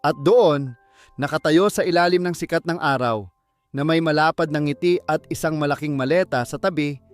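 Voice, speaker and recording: A man's voice: a little high, normal speed, soft background music. A man narrating a dramatic scripted audiobook story.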